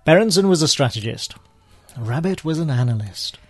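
A droll spy played by a man in a radio play, unflappable and wry. His voice is slightly husky and swings widely in pitch, while his wit stays deadpan. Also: moderate pace. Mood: brisk, thoughtful. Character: droll, unflappable male British spy, dryly witty. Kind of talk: scripted radio theatre acting.

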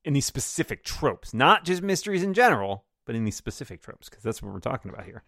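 A man's voice: medium pitch, sing-song, quick pace, creaky, fairly bright tone, no echo, studio quality. A man bantering casually as a game show panelist, explaining and arguing his points at length.

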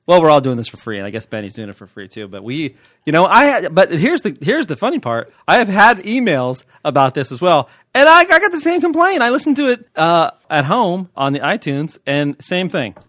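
A man's voice: on the high side, huge pitch swings, brisk, fairly bright tone, no room sound, studio quality. A man hosting a podcast with casual comic banter, joking and mock-exasperated. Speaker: podcast host.

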